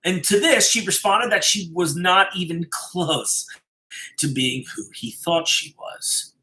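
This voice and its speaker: A man reading a story aloud as a dramatic storyteller, deliberate and suspenseful, stressing key phrases and dropping to hushed endings. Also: high-pitched, lively intonation. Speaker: storyteller, host of a live reading show.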